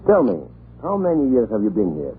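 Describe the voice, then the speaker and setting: An actor playing a talkative, irreverent Russian government official in a radio drama, chatty, excitable and theatrical. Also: male voice, on the low side, fairly quick. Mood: jovial, chatty. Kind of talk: 1940s radio drama acting. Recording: muffled, noisy old radio recording.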